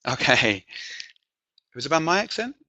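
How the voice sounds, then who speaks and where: A man from the south of England, with an accent mixing southern and northern English, presenting a teaching webinar. He checks in with "okay?" often and fills pauses with um. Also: on the high side, very animated, sharp tone. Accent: mixed southern and northern English. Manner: frequent "okay?" check-ins and filler ums. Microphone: computer microphone on a video call.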